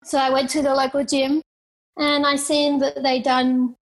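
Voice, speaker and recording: Australian woman, plain and halting. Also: high voice, level tone, poor audio. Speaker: guest, professional fighter. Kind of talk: interview answers.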